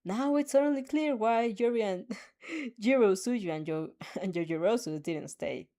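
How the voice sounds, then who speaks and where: A woman's voice: on the high side, moderate pace, mellow. A young woman reading a fan story aloud, often giggling and laughing as she goes. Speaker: fan audio reader.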